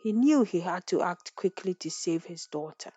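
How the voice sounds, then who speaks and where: A woman's voice: on the low side, lively intonation, moderate pace, mellow, breathy edge, dry recording, lo-fi sound. A woman storyteller narrating a folktale in a scripted, dramatic style.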